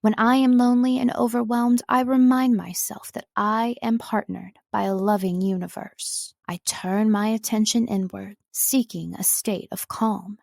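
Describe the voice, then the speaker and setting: Lady doing voiceover narration, careful measured reading, bit of vocal fry, really dry sound. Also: medium pitch, darkish tone, dry recording. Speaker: voice-over artist and audiobook narrator. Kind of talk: scripted narration.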